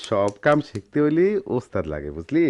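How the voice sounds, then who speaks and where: Male actor as humble goldsmith father, middle-aged, Dhaka-area Bangladeshi accent, earnest, avuncular, animated pitch, radio drama. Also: a little fast, natural voice. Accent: Bangladeshi, Dhaka-area dialect. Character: patient, humble ageing master goldsmith and gentle father.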